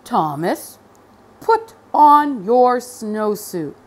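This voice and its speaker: A woman voicing a stern, indignant male school principal in a children's story, loud and commanding. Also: fairly high pitch, big pitch swings, extremely slow, exaggerated voice.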